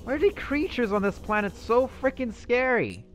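A man's voice: very high-pitched, animated, moderate pace. A young man roleplaying through a survival game, musing aloud to himself with nervous outbursts. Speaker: gaming YouTuber roleplaying a character. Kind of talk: in-character gameplay commentary.

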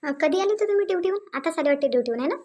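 A high, soft cartoon voice of a young village mother acted by a woman, with a Varhadi Marathi accent. She is gentle and coaxing. She sounds dutiful and puts herself last. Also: lively intonation, rapid-fire. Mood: hushed. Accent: Varhadi Marathi of Vidarbha. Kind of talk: family cartoon dialogue.

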